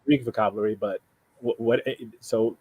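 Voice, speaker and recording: A man from Sudan, a native Arabic speaker with a Sudanese Arabic accent, talking casually and haltingly as he explains himself, often repeating and rephrasing. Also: lowish voice, steady tone, phone-quality sound. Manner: halting, repeats and rephrases, frequent "you know". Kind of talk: casual conversation, explaining personal plans.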